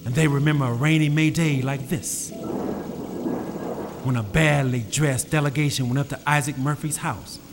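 A man narrating a story in a measured, solemn, evocative way. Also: medium pitch, fairly even intonation. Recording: narration over clear music. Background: music and rain.

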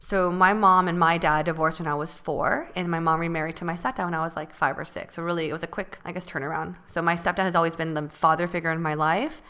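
A woman's voice: fairly low pitch, steady tone, fast, hardly any pauses, sharp tone, clean tone. A young woman co-hosting a podcast, chatting casually and filling her talk with "like". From California. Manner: chatty, fills with "like" often. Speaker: podcast co-host.

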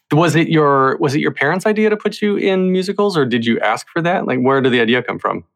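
A man's voice: fairly high pitch, expressive, a little fast, creaky, a bit bright. Chatty man hosting a podcast. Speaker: podcast host. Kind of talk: casual interview.

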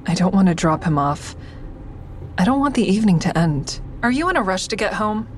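A woman's voice: medium pitch, very animated, fairly quick, a little hiss or hum, natural voice. A woman playing an awkward, smitten survivor who narrates her own story in an audio drama, confiding and self-deprecating. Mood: curious, confiding.

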